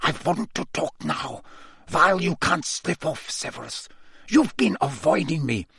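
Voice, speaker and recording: An anxious, secretive professor from a fantasy storybook, voiced by a male reader. He mutters urgently with barely opened lips, pitched up from the narration and recorded very dry. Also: big pitch swings, unhurried, vocal fry, a bit bright, slightly rough, no room sound, full character voice. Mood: urgent, insistent. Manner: tight-lipped, urgent muttering.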